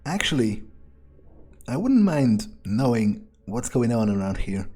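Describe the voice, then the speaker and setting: A man role-plays his video game character aloud in a dark, mellow voice. He muses casually and swears freely, with faint game music behind him. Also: mid-range voice, very animated, a little slow, breathy edge. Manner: musing, casual, profane. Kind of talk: in-character game playthrough commentary.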